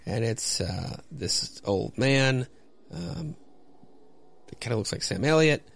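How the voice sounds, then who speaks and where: A man hosting a podcast, giving casual, halting, self-deprecating commentary and narration on a comic. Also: medium pitch, animated, vocal fry. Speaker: podcast host.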